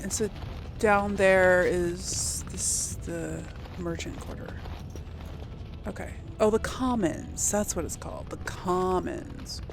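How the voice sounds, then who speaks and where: A woman's voice: fairly low pitch, takes it slowly, vocal fry, over music. A woman hosting a casual video game let's play, rambling and musing to herself as she goes. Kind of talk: casual game commentary, thinking aloud. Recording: faint background noise.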